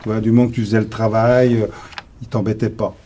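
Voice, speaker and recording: A man's voice: lowish voice, flat delivery, fast, mellow, a little hiss or hum. An older grandfather speaking in an interview, plain-spoken and informal, stressing his points with emphasis. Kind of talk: casual conversation.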